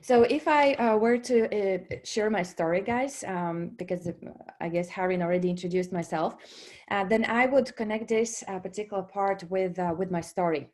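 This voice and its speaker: A woman's voice: mid-range voice, normal speed, darkish tone. Latvian-accented woman with hesitant delivery. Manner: hesitant, many fillers and restarts, checks in with "okay?". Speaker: presenter at a business webinar. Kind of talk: speaking to an online audience.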